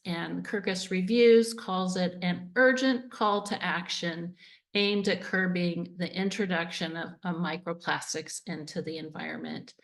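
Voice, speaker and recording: A woman's voice: on the low side, vocal fry. A woman hosting a webinar on a video call, enthusiastic and talking with many fillers. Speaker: event host. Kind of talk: webinar introduction.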